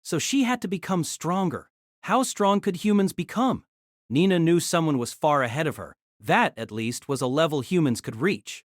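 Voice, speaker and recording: A woman's voice: deep voice, expressive, moderate pace, no echo, studio quality. A woman narrating a scripted, dramatized story as its narrator.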